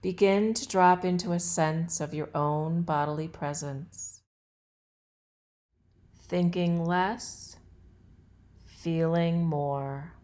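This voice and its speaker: A woman leads a guided meditation in a fairly low voice. She speaks very slowly, giving relaxation instructions in short, deliberate phrases with a soothing manner. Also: steady tone, a little mellow. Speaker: yoga nidra instructor.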